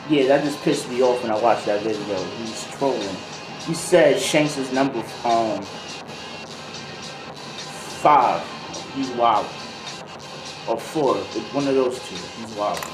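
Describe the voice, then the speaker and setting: A man telling a story casually in slangy, rambling speech, improvising as he goes and drifting into tangents. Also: mid-range voice. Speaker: video host. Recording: roomy echo, music behind voice. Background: music beat.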